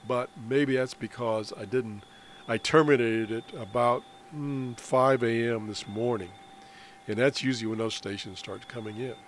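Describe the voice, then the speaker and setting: An American man giving an unscripted software walkthrough as a radio hobbyist, explaining in a halting way with trailing phrases. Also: mid-range voice, fairly even intonation. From United States. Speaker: amateur radio hobbyist presenting a tutorial. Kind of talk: unscripted software screen demonstration. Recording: clear background noise on voice-over.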